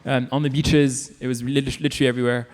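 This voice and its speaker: A man's voice: medium pitch, flat delivery, fast, darkish tone. Indonesian-raised male panelist, earnest, reverberant hall. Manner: earnest, filler-heavy. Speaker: panelist, nonprofit founder. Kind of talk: panel talk.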